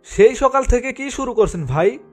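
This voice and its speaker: Guy doing a put-upon cartoon sidekick, high voice, music behind. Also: lively intonation, normal speed. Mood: plaintive. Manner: protesting. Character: grumbling, put-upon younger male sidekick.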